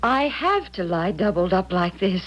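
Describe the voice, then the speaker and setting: An actress playing a troubled, frightened young woman in a radio drama, speaking in a halting, anxious way. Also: lowish voice, very animated. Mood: anxious, bewildered. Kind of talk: 1970s radio drama acting. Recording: dull vintage radio broadcast.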